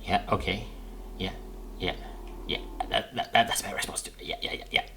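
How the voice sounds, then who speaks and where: A man's voice: fairly low pitch, fairly even intonation, normal speed, bright, forceful tone, slight background noise. A man hosting a casual gaming playthrough, musing aloud and often repeating phrases. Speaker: gaming video host.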